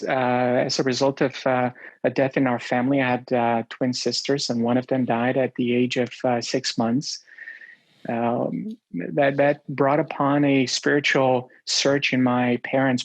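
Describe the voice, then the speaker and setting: A man's voice: mid-range voice, level tone, no echo, poor audio. Romanian-born man telling his story. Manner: halting, many uh and um fillers. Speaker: guest.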